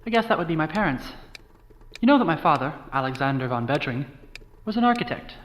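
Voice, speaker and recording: A man's voice: on the high side, sing-song, quick pace, a little hiss or hum. An actor playing a wry, guilt-ridden young American man recounting his family history in an audio drama. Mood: wry, reminiscing. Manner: wry, sardonic, confiding. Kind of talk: audio drama monologue, conversational storytelling.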